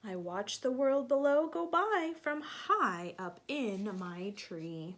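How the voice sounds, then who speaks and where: A woman reading a picture book aloud to young children, gently asking them questions and sometimes dropping to a soft whisper. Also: on the high side, very animated, slow pace.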